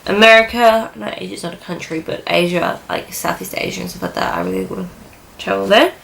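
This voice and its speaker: A woman's voice: mid-range voice, big pitch swings, brisk, gravelly, a bit bright. A young woman from New Zealand and Australia chatting casually to her vlog viewers, rambling and often correcting herself with plenty of filler "like". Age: young adult. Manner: rambling, frequent "like" and self-corrections. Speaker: vlogger. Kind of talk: casual sit-down vlog chat.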